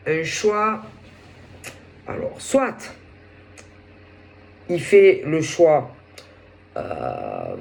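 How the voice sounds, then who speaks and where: A woman with a head cold and a sore throat, giving a casual tarot reading in a halting way, often searching for words. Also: low voice, darkish tone. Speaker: tarot reader.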